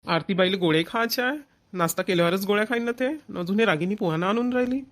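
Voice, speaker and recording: An actor plays a village brother and husband in a family cartoon. He speaks quickly and insistently, in a homely way. His accent is rural Varhadi Marathi, and he is bossy but caring. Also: mid-range voice, a bit bright, lightly acted. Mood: impatient. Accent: Maharashtrian, rural Varhadi Marathi.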